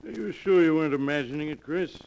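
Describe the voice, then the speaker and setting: A probing male doctor in a 1940s radio play. His voice sits a little high and moves with very lively, skeptical questioning. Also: fast, vocal fry, poor audio. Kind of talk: 1940s radio drama acting.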